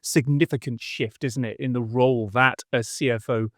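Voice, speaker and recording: A man's voice: mid-range voice, steady tone, normal speed, dry recording. A man hosts a business interview podcast and chats with his guest in a friendly, conversational way, now and then restarting a phrase. His voice sits in a middle range. The sound is close and dry.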